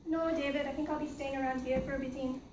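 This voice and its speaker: A woman's voice: high voice, steady tone, quick pace, close to an everyday voice. A woman playing a blunt, fierce young Irish woman. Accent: put-on Irish. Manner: blunt, bossy. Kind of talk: amateur play reading. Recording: distant roomy recording with background noise.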